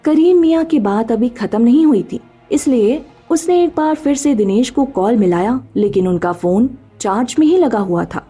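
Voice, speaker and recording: A woman's voice: a little high, lively intonation, normal speed, few pauses, warm tone, slightly rough, soft background music. A woman narrating a scripted story as the narrator.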